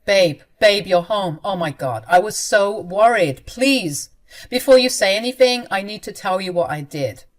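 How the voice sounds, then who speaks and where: A woman voicing a young husband torn between his wife and his mother, speaking in a soft, pleading way. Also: on the low side, animated, a little fast, hardly any pauses, natural voice.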